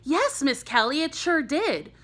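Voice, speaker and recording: Woman doing a cheeky young schoolboy, bright. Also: high voice, sing-song, a little slow, voice pushed up, full character voice. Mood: eager.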